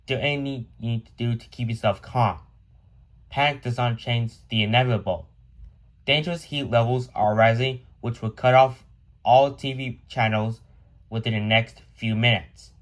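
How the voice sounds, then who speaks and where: A man's voice: medium pitch, natural voice. A man playing a grave broadcast announcer, delivering a scripted doomsday message in a solemn, formal manner. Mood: grave, urgent. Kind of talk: scripted emergency broadcast narration.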